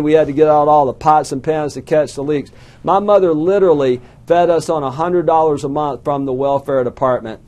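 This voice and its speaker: A man's voice: a little high, a little mellow. A middle-aged man with an Alabama Southern accent giving a motivational talk to an audience, telling folksy stories with self-deprecating jokes. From southern United States. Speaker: small business coach speaker.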